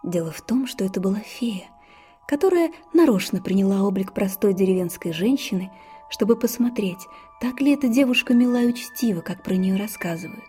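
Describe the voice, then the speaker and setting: A woman narrating a fairy tale in an expressive storytelling manner, with soft breathy asides. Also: mid-range voice, fairly quick. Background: faint music.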